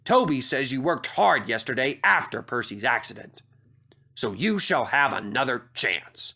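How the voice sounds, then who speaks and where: A middle-aged railway controller from a children's storybook, voiced by a man. He speaks pompously and deliberately in a bright, forceful voice, stern and authoritative. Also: medium pitch, sing-song, gravelly, husky, full character voice. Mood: approving. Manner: severe, deliberate.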